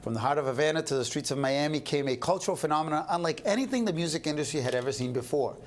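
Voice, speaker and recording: A man's voice: a little high, racing. A man hosting a TV interview, opening with a voice-over introduction and then chatting in a playful, upbeat way. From Cuban American. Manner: upbeat, playful, laughing along. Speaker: host.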